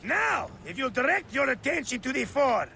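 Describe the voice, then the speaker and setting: A man's voice: very high voice, sing-song, moderate pace, gravelly, pushed and bright, slight background noise, heavily acted. A man playing a boisterous, roguish older sea captain in a video game cutscene, theatrical and jovial, moving between bellows and wry asides. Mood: grandiose, jovial. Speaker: ship captain.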